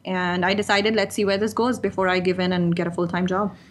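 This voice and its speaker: A woman's voice: lowish voice, level tone, brisk. A woman in her thirties from Pakistan, chatting candidly as an interview guest and often slipping in "you know". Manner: chatty and candid, laughs easily, many "you know" asides. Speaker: guest, freelance writer. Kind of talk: casual interview chat.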